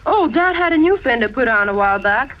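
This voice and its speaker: A woman's voice: on the high side, animated, fairly quick, lightly acted. A woman playing a polite, eager young schoolboy in an old radio drama, speaking respectfully. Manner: respectful, well-mannered. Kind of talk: 1940s radio drama acting. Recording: dull, noisy old radio recording.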